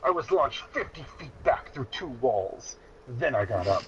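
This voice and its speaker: A man's voice: mid-range voice, big pitch swings, normal speed, over a noisy crowd. A man reading a story aloud in a mock-serious, dramatic way, often close to breaking into laughter. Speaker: reader of a childhood story. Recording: dull lo-fi sound, clear background noise.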